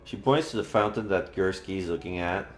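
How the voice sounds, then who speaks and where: A man streaming a read-aloud of a visual novel, narrating in an expressive storytelling style. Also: sits low, fairly quick. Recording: roomy sound, faint background music.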